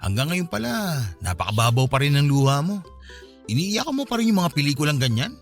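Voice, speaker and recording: A teasing young man in a radio drama. He talks fast with lively, swinging pitch in casual banter. Soft music sits behind him. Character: teasing young man, close childhood friend.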